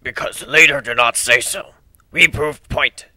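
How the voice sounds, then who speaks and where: Storybook male guard dog , voiced by a reader; gruff gravel, few words, broken grammar. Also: normal speed, bright, forceful tone, no echo. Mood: stern. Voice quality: low, gravelly. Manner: terse, clipped broken grammar.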